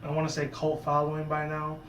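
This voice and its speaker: A man with a sore, hoarse voice, talking in a casual, rambling commentary vlog full of asides and restarts. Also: a little high, completely flat delivery, a little fast, fairly bright tone, echoey room, a little hiss or hum. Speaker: YouTuber.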